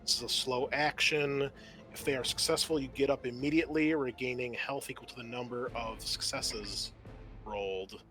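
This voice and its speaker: A man with a bright, forward voice runs a tabletop roleplaying session as game master. He explains rules and narrates turns conversationally and matter-of-factly, with faint music behind him. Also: mid-range voice, fairly even intonation, moderate pace. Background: background music.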